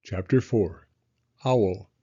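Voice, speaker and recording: A man reading a children's story aloud with expressive narration. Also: lowish voice, drawn-out words, husky, highs cut off.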